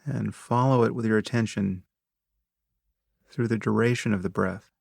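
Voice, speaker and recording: A man narrating a guided meditation in a measured, instructive manner, speaking in short phrases with long pauses between them. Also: fairly low pitch, fairly even intonation, vocal fry, a little mellow, no room sound, studio quality.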